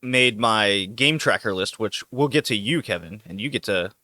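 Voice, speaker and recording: A man hosting a casual podcast with a bright, forceful voice, laughing easily through loose chat. The sound is dry and close, with no room around it. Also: on the low side, animated, normal speed, dry recording. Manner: loose, joking, laughs often. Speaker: podcast host.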